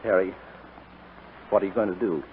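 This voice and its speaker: An actor playing a devoted, possessive fiancé in a 1940s radio drama. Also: male voice, low voice, moderate pace, natural voice. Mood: hurt, pleading. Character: devoted, possessive jilted fiancé, breezy then pleading. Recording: muffled scratchy 1940s radio recording.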